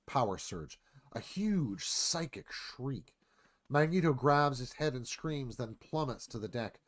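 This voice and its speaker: A man's voice: medium pitch, lively intonation, slow pace. A man reading dramatic scripted narration as screenplay narrator, in clipped phrases.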